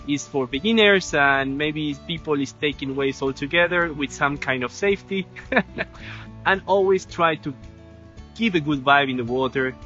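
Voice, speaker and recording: A man in his thirties from Argentina, a surf instructor talking outdoors by the sea, halting and repeating words. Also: a little high, sharp tone. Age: 30s. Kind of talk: informal video talk. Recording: dull lo-fi sound with music.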